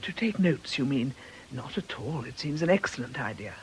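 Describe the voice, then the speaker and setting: A woman's voice: extremely low pitch, animated, normal speed, a little mellow, slight background noise, poor audio. A woman playing a composed, soothing but firm nursing sister in a radio drama. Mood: composed, efficient. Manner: composed, reassuring.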